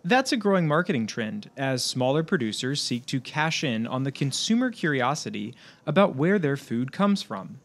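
A man reading a scripted news voice-over in a measured, steady manner. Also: mid-range voice. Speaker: news narrator.

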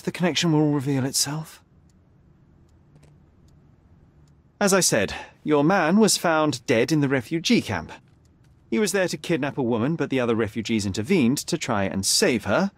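A confident young male detective in a game drama. His fairly high voice swings with lively emphasis, each formal phrase pointed and articulate. The sound is close and dry. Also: normal speed, no room sound. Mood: measured, probing. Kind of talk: dramatic video game acting.

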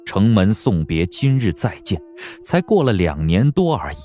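Casting: man, low register, animated dramatic narrator for a historical audiobook, light music bed. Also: moderate pace.

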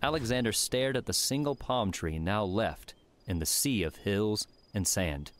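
Low-pitched male audiobook narrator. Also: lively intonation, measured pace, a little hiss or hum. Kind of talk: scripted narration.

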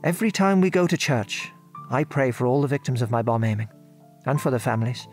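A man's voice: mid-range voice, fairly quick, soft background music, close to an everyday voice. An actor playing a modest, remorseful English father and former RAF bomb aimer in an audio drama, with a British accent and hesitant, genteel speech. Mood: remorseful, haunted. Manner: hesitant, reflective, genteel old-fashioned turns of phrase. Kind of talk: audio drama acting, reminiscing monologue.

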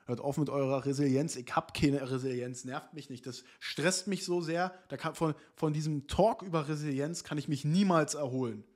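Casual German-speaking streamer, relaxed. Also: male voice, a little high, normal speed, few pauses. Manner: reads text aloud, then comments in loose asides with fillers. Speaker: streamer reading and reacting to an interview. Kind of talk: casual chat, reading an article aloud. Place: small room indoors.

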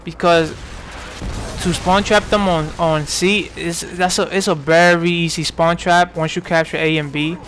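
A man's voice: on the high side, steady tone, unhurried, fairly bright tone, slight background noise. Rambling young gaming commentator, man. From New York. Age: 20s. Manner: rambling, lots of fillers and restarts. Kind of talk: casual gameplay commentary.

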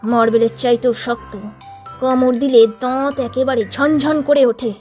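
A woman's voice: sits high, steady tone, measured pace, dark tone, soft background music. A woman voicing a boastful teenage boy who leads his gang, spinning a tall tale in a teasing tone. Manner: bragging, teasing storyteller tone. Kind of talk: storybook reading of a figure's tall tale.

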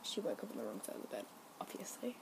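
A woman's voice: extremely low pitch, sing-song, fast, vocal fry. A young woman whispering a rambling, giggly story to her camera as a vlogger. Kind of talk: whispered ASMR story time. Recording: noisy home recording.

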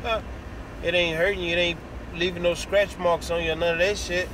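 A man's voice: high voice, level tone, brisk. A middle-aged American man giving a blunt, casual, sweary opinion monologue into his phone inside a vehicle. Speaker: vlogger. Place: inside a parked or moving vehicle cab. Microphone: phone at arm's length. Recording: phone recording with clear vehicle noise. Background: car and air conditioning hum.

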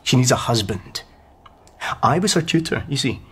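Radio drama role: cultured young schemer, measured and insinuating, husky mid-range voice. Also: male voice, mid-range voice, animated. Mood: insinuating, confiding. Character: smooth, insinuating young gentleman tutor and schemer.

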